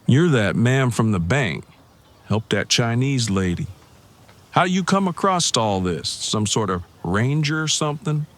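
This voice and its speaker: Slow, folksy Old West sheriff. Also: male voice, mid-range voice, very animated. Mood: curious, suspicious. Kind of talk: old-time radio western acting.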